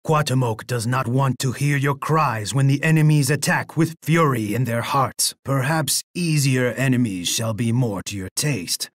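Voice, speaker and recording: A menacing Aztec emperor from a video game, in a medium-pitched male voice. He is pompous and boastful, delivering each line as an imperious, dramatic pronouncement. The sound is close and dry. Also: a bit bright, dry recording. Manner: pompous, speaks of himself in the third person.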